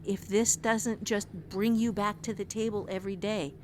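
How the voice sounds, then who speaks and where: A woman's voice: mid-range voice, steady tone, normal speed, noisy background. Emphatic woman giving writing advice. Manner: emphatic, earnest, repeats phrases for emphasis. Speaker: writing teacher and novelist. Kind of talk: conversational podcast advice.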